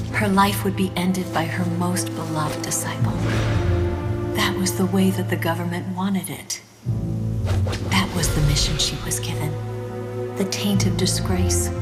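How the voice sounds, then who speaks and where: A woman voicing a grave, mournful female intelligence informant, narrating in a measured, reverent way in a video game. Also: on the low side, lightly acted.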